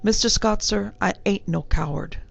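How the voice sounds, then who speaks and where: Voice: mid-range voice, normal speed, no echo, voice pushed up. A reader voicing a young male Cockney bellboy who drops his H's and keeps saying sir, blunt and uneasy. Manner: dropped H's, rough working-class speech, repeated sirs.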